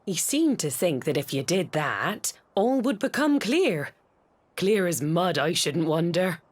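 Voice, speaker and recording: A woman's voice: lowish voice, sing-song, a little slow. An actress playing a doting, middle-aged village mother in a video game, with a British accent and a chatty, exclamatory, wry manner. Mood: wry, resigned. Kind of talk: scripted video game voice acting. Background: music.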